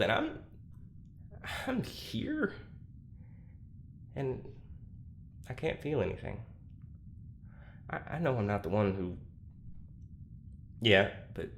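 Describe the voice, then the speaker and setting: An actor playing a troubled, anxious young man in a confessional audio drama, speaking haltingly with self-deprecating, dry sarcasm. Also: lowish voice, a little hiss or hum.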